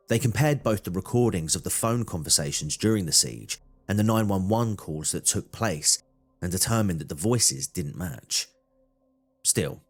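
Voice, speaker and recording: A man narrating a true-crime documentary from a script. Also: low voice.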